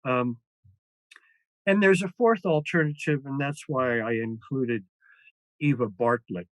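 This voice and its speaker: An older man, a former foreign correspondent, speaking as a guest in an interview, with many pauses, fillers and restarts. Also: mid-range voice, no room sound, rough recording. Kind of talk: interview conversation.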